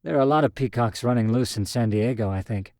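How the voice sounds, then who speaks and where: A man voicing a friendly, lively young woman who talks in a chatty, slightly hurried way. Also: mid-range voice, no echo, clean studio sound, voice pushed up, close to an everyday voice. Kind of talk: audio drama short story reading.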